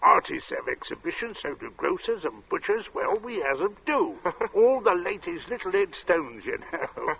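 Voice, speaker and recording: A man acting as a heat-worn, chatty working-class gravestone carver in a 1940s-style radio drama, with folksy, unhurried talk. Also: sits high, big pitch swings, gravelly, breathy edge, exaggerated voice. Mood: amused, proud. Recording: muffled old radio drama recording.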